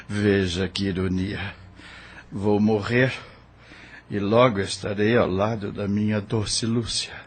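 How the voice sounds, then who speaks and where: A man's voice: lowish voice, fairly even intonation, takes it slowly, pauses often, breathy edge. A man playing a wounded, defiant prisoner in an audio drama, bitter yet steadfast in his faith. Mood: defiant, bitter irony.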